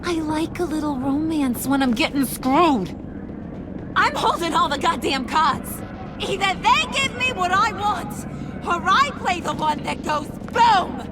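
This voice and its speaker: A woman's voice: very high voice, expressive, measured pace, noisy background. An actress voicing a volatile, brassy female criminal in a video game. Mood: cocky, menacing. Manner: sassy, slangy, theatrical taunting.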